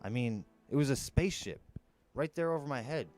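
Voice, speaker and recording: A man's voice: mid-range voice, expressive, fairly quick, darkish tone, faint music behind. A man narrating a first-person adventure novel in a dramatic storytelling style. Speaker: storyteller reading an audiobook.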